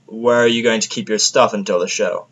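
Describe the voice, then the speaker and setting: A man's voice: lowish voice, fairly even intonation, fairly quick, fairly bright tone, poor audio. A man voicing an irritable, sarcastic human man in a fantasy audio story, often bitter and blunt. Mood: dry, sarcastic.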